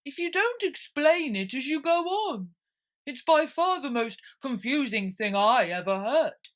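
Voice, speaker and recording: Mournful turtle creature, high voice, drawn-out words, swooping intonation, touchy temper, storybook reading. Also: female voice, big pitch swings. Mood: persistent, confused. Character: melancholy, easily offended Mock Turtle creature.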